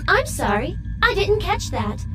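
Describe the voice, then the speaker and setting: A woman's voice: very high-pitched, big pitch swings, measured pace, exaggerated voice. A woman voicing a cheery, polite AI announcer, giving courteous automated prompts. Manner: chirpy, courteous, scripted customer service. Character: cheery, polite female AI announcer of a deadly game.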